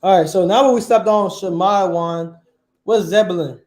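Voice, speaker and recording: High-voiced man teaching in an echoey room. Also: moderate pace, a little mellow. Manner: quizzing the class, repeats phrases. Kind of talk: classroom teaching.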